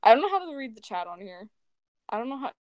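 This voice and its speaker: Monotone young woman on a phone mic. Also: on the high side, fast, no echo. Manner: flat, self-described monotone, mumbling. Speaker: guest. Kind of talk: casual chat. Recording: dry phone audio.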